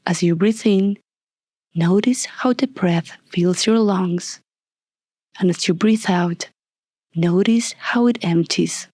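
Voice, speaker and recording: A woman leading a guided meditation as a scripted narration, soft and breathy, calm and soothing, with deliberate long pauses. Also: fairly low pitch, no echo, clean studio sound.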